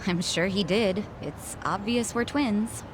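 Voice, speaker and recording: A woman's voice: mid-range voice, takes it slowly, noisy background, natural voice. A woman playing a blunt, guarded young cabaret club bartender in an English video game dub, frank and curt. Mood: frank, explanatory.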